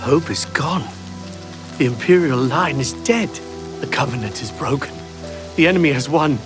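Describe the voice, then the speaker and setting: A man's voice: mid-range voice, animated, moderate pace, over music, lightly acted. A male actor playing a hopeless doomsaying survivor in a fantasy video game, speaking in short, bleak declarations. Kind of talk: dramatic fantasy game dialogue.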